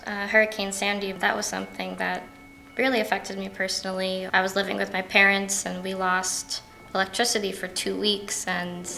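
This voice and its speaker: A young adult woman recalling her experience in a flat, matter-of-fact way. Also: medium pitch, vocal fry, rough recording. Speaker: interviewee. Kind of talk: personal testimony.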